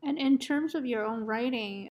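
A woman's voice: fairly high pitch, normal speed, dull audio. A woman interviewing a guest, asking questions and responding with frequent short acknowledgements. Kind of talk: conversational interview.

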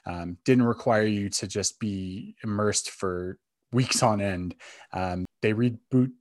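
Male podcast host, low register, dry close sound, casual and a bit halting. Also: dry recording. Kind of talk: casual film and game podcast chat.

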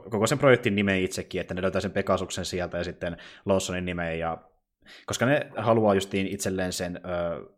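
Deep-voiced man recapping films, somewhat halting. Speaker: podcast co-host. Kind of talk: casual film discussion and plot recap.

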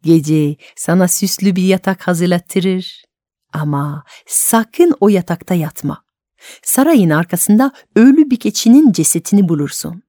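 A woman voicing a young folk tale princess, secretly helpful and caring, giving instructions gently. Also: on the low side, lively intonation, measured pace, no room sound, studio quality. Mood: caring, conspiratorial.

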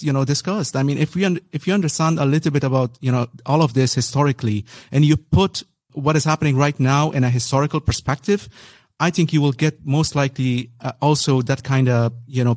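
A man's voice: mid-range voice, a little mellow, dry recording, studio quality. A man whose native language is Persian, hosting a podcast interview, who talks in a rambling way with many restarts and fillers. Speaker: podcast host. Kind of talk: casual interview conversation.

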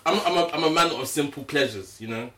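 A man's voice: a little high, fast, bright, forceful tone. A man in his mid-twenties chatting casually, playful and self-mocking. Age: 20s. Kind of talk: casual chat. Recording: roomy, slightly distant mic with faint noise.

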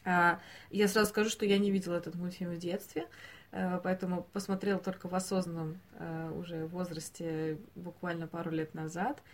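Low-pitched woman hosting a podcast, hesitant delivery. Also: little pitch movement, fairly quick. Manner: hesitant, searching for words. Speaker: podcast host. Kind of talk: unscripted podcast discussion.

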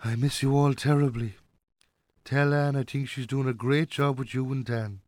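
Man acting Irish father, gentle, wistful, mid-pitched, dry studio sound, naturalistic radio drama. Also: steady tone, moderate pace, dry recording, lightly acted. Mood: reflective, regretful. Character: gentle dead father of a grown Irish farmer.

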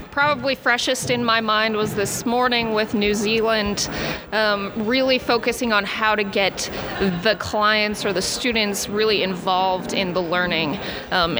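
A young woman from Utah, a ski instructor, answering interview questions earnestly with many pauses. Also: mid-range voice, noisy background. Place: bar and restaurant at a ski lodge.

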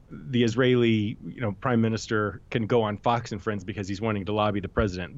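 A man co-hosting a political discussion, laying out his points analytically with frequent restarts. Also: on the low side. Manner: analytical, many restarts and hedges. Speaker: podcast co-host. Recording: dull lo-fi sound.

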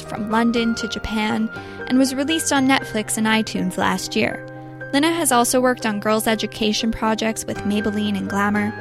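A woman hosting an interview podcast, giving scripted introductions and asking her guest questions. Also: a little high.

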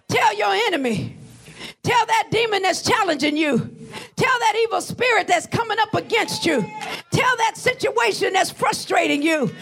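Shouting high-voiced preacher man, half-singing. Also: vocal fry. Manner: chanting, repetitive, half-sung. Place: church sanctuary. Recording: live church with crowd behind.

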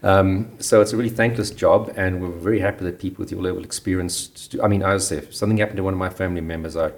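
Deep voiced guy co-hosting, talks quick, chatty and thankful. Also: fairly even intonation. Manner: talkative, appreciative, thinking aloud. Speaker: podcast co-host. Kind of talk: podcast interview.

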